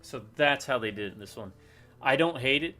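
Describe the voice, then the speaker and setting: A man's voice: mid-range voice, lively intonation, brisk, bright, forceful tone, soft background music. A man chatting casually while playing a co-op game, joking and thinking aloud. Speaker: co-op game player. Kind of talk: casual gameplay chat.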